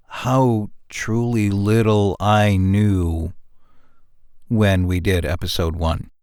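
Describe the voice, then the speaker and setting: A man in a casual behind-the-scenes podcast discussion, speaking as a show's creator in a halting, reflective way with repeated words. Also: deep voice, smooth, dry recording, studio quality. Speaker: audio drama creator and producer.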